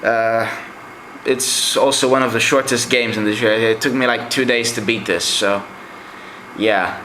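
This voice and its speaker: A man's voice: medium pitch, steady tone, a little slow, bright, forceful tone, slight background noise. A young man whose first language is Greek gives a casual, rambling review of his game collection, with many fillers. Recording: echoey room.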